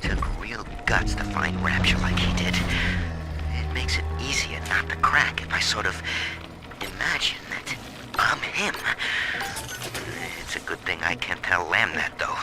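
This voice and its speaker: A man playing a nervous, sneaky informant confiding in a video game audio diary. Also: low-pitched.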